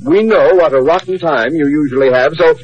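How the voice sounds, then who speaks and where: An actor playing a hearty, blustering American banker husband in 1950s radio sitcom style. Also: male voice, fairly high pitch, a little fast, lightly acted. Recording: dull, band-limited old radio recording.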